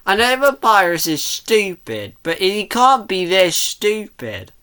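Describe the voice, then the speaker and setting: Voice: medium pitch, big pitch swings, takes it slowly, bright, forceful tone, a little hiss or hum. A video reactor giving casual commentary in a questioning, nitpicking, rambling way.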